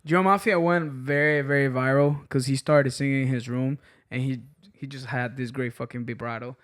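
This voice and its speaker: A man's voice: medium pitch, steady tone, moderate pace. A man in his twenties from Ecuador with a Miami accent, talking emphatically and swearing freely in a casual podcast chat. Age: 20s. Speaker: band member.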